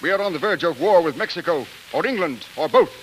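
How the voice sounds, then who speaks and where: A man acting a stiff, indignant army colonel with formal, forceful speech in a 1940s radio drama. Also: on the high side, lively intonation, fast talker, rough recording. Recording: faintly hissy 1940s radio recording.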